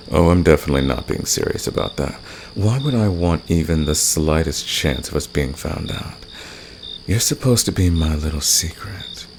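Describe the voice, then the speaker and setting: A man acts out a seductive fantasy villain in an intimate ASMR audio roleplay, with crickets and insects clearly audible behind him. His voice is extremely low and gravelly. He teases and flirts softly, with a caring streak underneath. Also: animated, measured pace. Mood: teasing, flirtatious. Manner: teasing, seductive, soft-spoken. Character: flirtatious, teasing male fantasy villain, secretly caring rebel. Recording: noisy, with nighttime crickets and insects. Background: cricket and insect night ambience.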